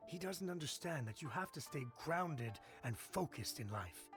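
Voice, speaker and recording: A man's voice: on the high side, lively intonation, normal speed, music in the background. An actor playing a practical, disapproving village man in a video game, grumbling with scorn. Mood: scornful. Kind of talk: English video game voice acting.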